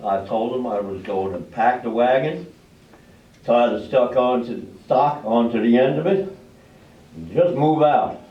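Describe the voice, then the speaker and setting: A man's voice: medium pitch, unhurried, lightly acted. A man playing a folksy, laconic small-town American farmer and family man in a live readers theater play. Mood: uneasy. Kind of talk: readers theater stage acting. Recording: echoey, dull, distant, noisy room recording.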